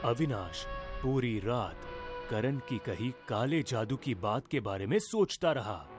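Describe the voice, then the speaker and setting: A man's voice: medium pitch, animated, takes it slowly. A man narrating a scripted horror story as a dramatic storyteller. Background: music.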